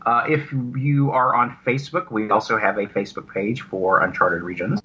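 A man chatting casually as an interview guest about his creative work, haltingly, often repeating words and restarting. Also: on the low side, pushed and bright, slightly rough, highs cut off. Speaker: radio drama writer and producer, interview guest.

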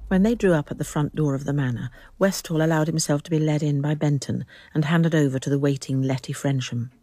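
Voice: mid-range voice, a little fast, dark tone, no echo. A narrator telling a radio drama in scripted, dramatic storytelling style.

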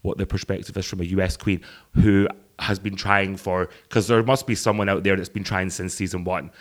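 Croaky-voiced man chatting with lots of ums. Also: deep voice, little pitch movement, normal speed. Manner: rambling, chatty, frequent ums and laughs. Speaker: drag performer and show producer. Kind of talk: casual podcast chat.

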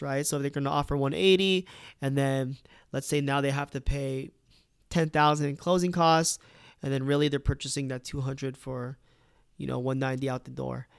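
Man explaining, slightly high, gravelly. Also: dry recording, studio quality. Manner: conversational, peppers with "right?" and "okay?". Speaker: real estate agent. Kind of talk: casual explainer video.

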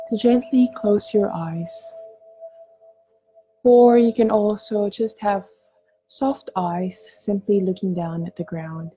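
Slow, very mellow woman guiding meditation. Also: mid-range voice. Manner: gentle, soothing, unhurried with long pauses. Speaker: meditation guide. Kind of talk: guided meditation narration.

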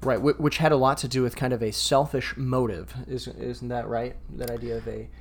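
Man, mid-pitched, earnest podcast co-host who restarts his sentences and stammers while thinking aloud. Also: little pitch movement, a little fast, a little hiss or hum. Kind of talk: casual discussion podcast.

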